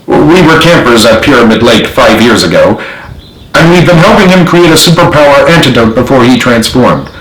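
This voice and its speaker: A man's voice: mid-range voice, animated, moderate pace, a bit bright, natural voice. A man voicing a bold, indignant boy in a storybook adventure, speaking emphatically in a roomy recording. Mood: earnest.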